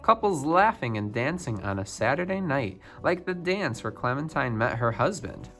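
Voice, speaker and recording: A man's voice: mid-range voice, big pitch swings, normal speed, fairly bright tone. Man reading a picture book aloud over music. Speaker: storybook reader. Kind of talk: read-aloud of a children's picture book biography.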